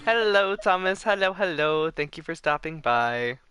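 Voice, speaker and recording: A man hosting a casual gaming livestream with a bright, fairly high voice. He is chatty and excitable, talking straight to his viewers over light background music. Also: moderate pace. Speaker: livestream host, gamer.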